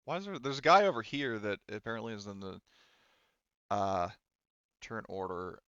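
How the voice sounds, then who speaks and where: Man, game master, casual and hesitant, crisp forward voice, very dry but lo-fi sound. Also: fairly low pitch, moderate pace, a bit bright, no room sound. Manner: informal, hesitant, thinking aloud. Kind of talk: casual narrating and refereeing of a tabletop roleplaying game.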